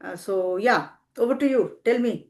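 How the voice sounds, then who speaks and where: Older woman, retired teacher type, slightly high voice, asks slow thoughtful questions. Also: darkish tone. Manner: thoughtful, pauses with "uh", asks long framing questions. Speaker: YouTube English teacher interviewing a guest. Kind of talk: slow, encouraging conversation in English as a second language.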